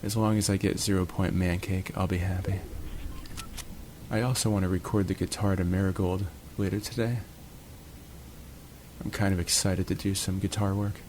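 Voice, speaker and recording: Man, very low voice, laid-back jokey gameplay commentary, recorded at home, faint hiss behind. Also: level tone, normal speed, pauses often, darkish tone. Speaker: gaming channel host.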